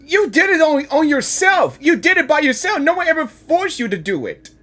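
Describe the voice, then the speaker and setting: A woman's voice: high-pitched, sing-song, normal speed. A woman giving live gaming reaction commentary, exclamatory and often repeating phrases. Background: faint game music.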